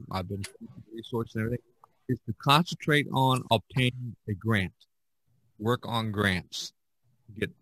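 A man hosting a casual podcast chat, talking in a halting, rambling way. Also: fairly low pitch, fairly bright tone, no echo, rough recording. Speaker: podcast host.

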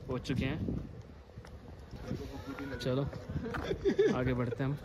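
A man's voice: mid-range voice, little pitch movement, takes it slowly. A man chatting casually in a travel vlog, narrating to camera outdoors at a lake park. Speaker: vlogger. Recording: loud outdoor background noise.

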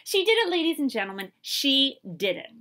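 An excited sports announcer, played by a woman reading a storybook, proclaims loudly. The pitch is raised and very lively. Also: fairly quick, full character voice. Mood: triumphant. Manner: loud, proclaiming. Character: excited sports announcer calling a championship win.

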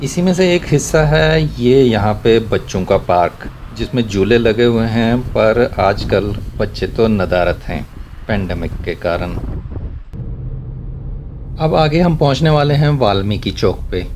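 A man's voice: on the low side, expressive, measured pace, darkish tone. Man chatting while driving. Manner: chatty, wry remarks about traffic manners. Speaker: vlogger giving a city driving tour. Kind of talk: casual running commentary while driving. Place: inside a car driving through a city in rain.

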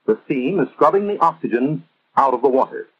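An American man narrating a 1950s instructional film in a measured, emphatic, teacherly way, on an old film soundtrack. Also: on the high side, animated, slightly rough, lo-fi sound.

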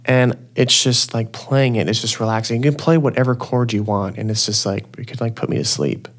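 A man's voice: medium pitch, brisk. A young man hosting a podcast, chatting in an enthusiastic, rambling way and saying "like" often. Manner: enthusiastic, rambling, says like a lot. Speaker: podcast host. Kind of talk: casual interview chat.

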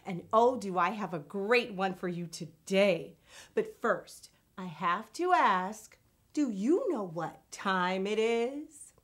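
A woman in her thirties presenting a children's read-aloud as host, enthusiastic and expressive. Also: mid-range voice, slow pace. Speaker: children's storytime host and reader.

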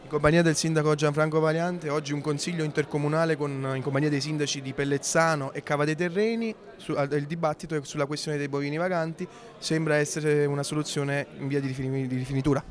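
A man's voice: fairly high pitch, steady tone, quick pace, hardly any pauses. A man asks questions as a local reporter in an on-camera interview. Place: large indoor council hall. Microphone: handheld interview microphone.

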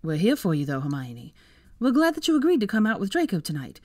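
A woman voicing a sensitive, supportive female friend in a story, gentle and encouraging. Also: medium pitch, wildly swooping pitch, fast talker, no room sound, studio quality.

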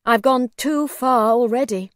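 A woman voicing a gentle, pleading, very old gentleman in a storybook, well-spoken and old-fashioned in his courtesy. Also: fairly high pitch, unhurried, dry recording, clean studio sound, lightly acted. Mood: wistful. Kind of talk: storybook character voice.

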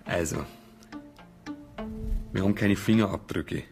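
Male detective, very low voice, Bavarian accent, methodical questioner; naturalistic radio drama. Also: measured pace, breathy edge, faint music behind, full character voice. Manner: methodical, probing. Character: male police detective, methodical, probing, persistent.

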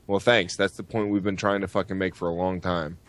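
A man with a low voice talks as a podcast host. He rants in a casual, profane way and comes across as blunt and outspoken. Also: flat delivery, brisk. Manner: blunt, heavy swearing. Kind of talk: ranting, profane podcast chat.

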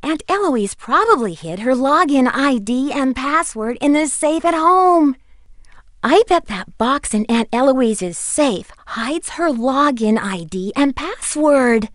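High-pitched, earnest helpful girl friend. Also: animated, slow pace, no room sound, clean studio sound. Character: young female friend giving hints, fretful and encouraging. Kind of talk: video game voice acting.

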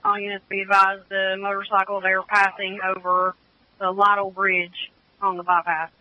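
A man's voice: high-pitched, monotone, moderate pace, no room sound, dull audio. A man working as a dispatcher, speaking in clipped radio traffic over police radio.

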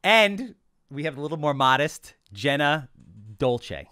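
A middle-aged man hosts a podcast in a small office, joking and talking with big gestures. His voice is smooth and resonant. The sound is dry and close on a boom mic. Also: a little slow, no room sound. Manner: animated, joking, gestures broadly while talking. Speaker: podcast host. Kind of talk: casual podcast chat.

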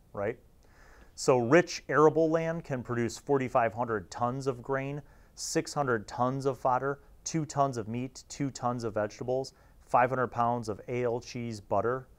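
A man in his forties talking casually and wryly to camera as a host reviewing things, on a lapel mic in a small studio. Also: medium pitch. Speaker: host reviewing old gaming magazines. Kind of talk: casual, informal commentary to camera.

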